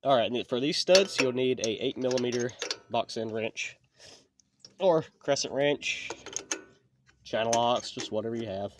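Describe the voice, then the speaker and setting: Man, sniffly, folksy how-to talk, mid pitch, steady background noise. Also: unhurried, long pauses. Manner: plainspoken, folksy, step-by-step. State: sniffly from allergies. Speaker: channel host. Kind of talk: casual how-to repair walkthrough.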